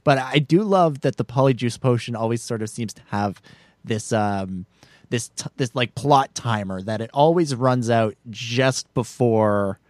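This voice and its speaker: A man's voice: medium pitch, unhurried, a little mellow, no room sound. A man co-hosting a casual film podcast, chatting with lots of restarts and fillers and laughing often. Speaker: podcast co-host.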